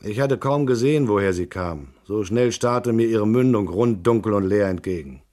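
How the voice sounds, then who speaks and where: An actor playing a hardboiled, controlled investigator in a vintage German radio crime drama, measured and deliberate. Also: male voice, on the low side, darkish tone, natural voice. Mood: tense narrating.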